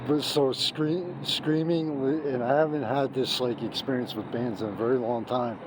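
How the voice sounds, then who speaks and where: Hoarse man raving about a band. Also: mid-range voice, steady tone, normal speed. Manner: emphatic, gushing, restarts often. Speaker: music reviewer. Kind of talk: off-the-cuff video review. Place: outdoors on a city street at night. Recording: street noise and traffic behind.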